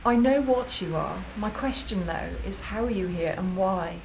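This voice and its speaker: A woman's voice: medium pitch, lively intonation, normal speed, creaky, a little mellow, a little hiss or hum, rough recording. An actress playing an older female spirit medium who speaks in a soothing, motherly way.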